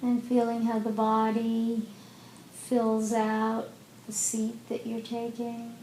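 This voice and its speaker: Very slow, mellow woman guiding meditation. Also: completely flat delivery. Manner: gentle, unhurried, long pauses. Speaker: meditation teacher. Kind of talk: guided meditation. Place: indoor meditation room. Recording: roomy echo, faint rumbling background noise.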